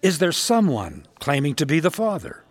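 An actor playing a wise, gentle old rabbi with a measured, wry way of questioning in a biblical audio drama. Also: male voice, a little high, wildly swooping pitch, no echo. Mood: thoughtful.

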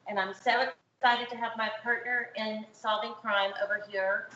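Lady interviewer, really gushy and chatty, bright sharp voice, echoey room. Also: on the high side, little pitch movement, moderate pace. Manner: effusive, enthusiastic. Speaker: interview show host. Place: home, indoors with windows open. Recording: echoey room, muffled, faint background noise.

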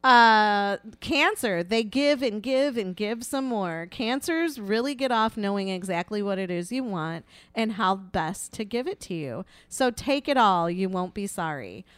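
A woman's voice: medium pitch, lively intonation, measured pace, few pauses, smooth, dry recording. A woman co-hosting a casual podcast, chatting and reading aloud in a frank, playful, informal way. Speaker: podcast co-host.

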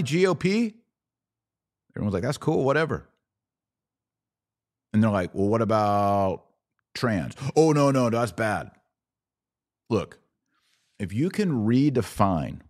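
A man's voice: fairly low pitch, darkish tone, dry recording, clean studio sound. An American man giving opinionated reaction commentary as a podcast host, emphatic and sarcastic, sometimes mimicking the people he disagrees with.

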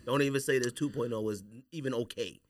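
A man's voice: mid-range voice, fast talker. An American man hosting a podcast with casual, teasing, slangy banter. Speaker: podcast host.

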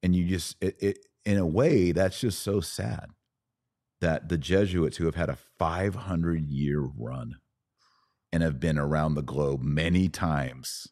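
A man with an extremely low, deep voice talks as a podcast guest. He speaks conversationally, earnestly and deliberately, often restarting as he works through his point. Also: a little mellow.

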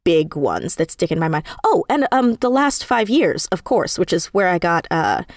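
Low-pitched woman chatting, close dry sound. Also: big pitch swings, moderate pace, no room sound. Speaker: interview guest, audio drama writer. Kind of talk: casual interview chat.

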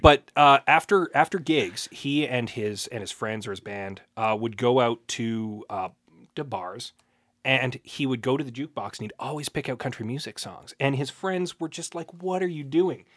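A man co-hosting a podcast, telling things casually in a halting, self-aware way. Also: medium pitch, a bit bright, dry recording, studio quality. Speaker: podcast co-host.

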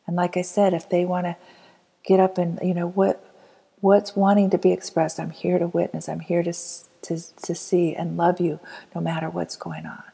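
A woman leading a guided inner child healing meditation, speaking softly and slowly and often dropping to a whisper. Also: on the low side, level tone, gravelly, husky. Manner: soft, slow and soothing, often dropping to a whisper.

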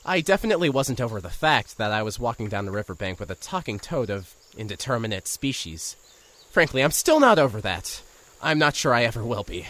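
A man plays a wry, slightly awkward radio reporter telling his own mystery in an audio drama. His mid-pitched voice swings in lively fashion from dry narration to hesitant questions, with crickets behind. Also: brisk. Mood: bemused. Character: awkward, wry male radio host turned investigator. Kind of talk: scripted first-person audio drama narration.

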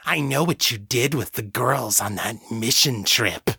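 A man's voice: mid-range voice, a little slow, vocal fry, pushed and bright, husky, no room sound, clean studio sound, full character voice. A man voicing a teenage girl who puts on a sneering, mocking demon voice. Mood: mocking malice.

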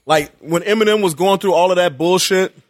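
A man in his forties talking as a podcast guest in casual chat, animated and swearing freely, often repeating himself. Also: high-pitched, a little fast, no room sound, studio quality. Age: 40s. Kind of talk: casual conversation.

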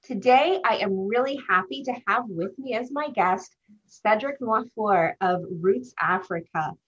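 A woman's voice: medium pitch, measured pace, slightly rough, muffled recording. A woman hosting a podcast interview, introducing her guest and asking questions with enthusiasm. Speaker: podcast host, business consultant.

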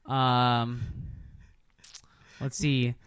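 A man's voice: medium pitch, completely flat delivery, drawn-out words, a bit bright, clear, resonant tone, dry recording. A man hosting a podcast, chatting casually about movies in a bantering, profane way. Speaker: podcast host.

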